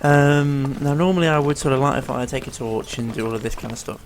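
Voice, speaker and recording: A man's voice: medium pitch, a little fast, a little hiss or hum. A man with a strained, failing voice, giving easygoing let's-play commentary and explaining the game as he plays, with plenty of ums and uhs. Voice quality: rough, tired-sounding voice. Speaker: gameplay commentator.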